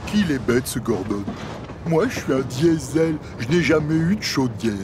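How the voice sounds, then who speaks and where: A man's voice: medium pitch, animated, normal speed, noisy background, natural voice. An actor voicing a forgetful, cheerful male diesel engine in a cartoon, chuckling and scatterbrained. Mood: cheerful, muddled. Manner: chuckling, scatterbrained, sing-song. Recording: cartoon soundtrack with music behind.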